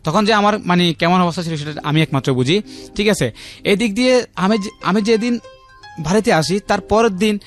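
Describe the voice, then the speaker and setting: A man's voice: high-pitched, normal speed. A man in his thirties with a Bangladeshi accent, telling his personal story as a radio interview guest, repeating himself and often adding check-in tags. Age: 30s. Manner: repetitive, uses frequent check-in tags. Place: radio studio. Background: faint music.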